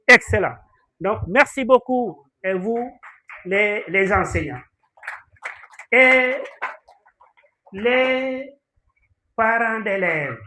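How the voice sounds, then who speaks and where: A man with a Senegalese accent giving a formal thank-you speech at a school awards ceremony, with halting, deliberate phrasing. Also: high voice, pushed and bright. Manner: halting, deliberate delivery with pauses. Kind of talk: formal ceremony speech mixing French and Wolof.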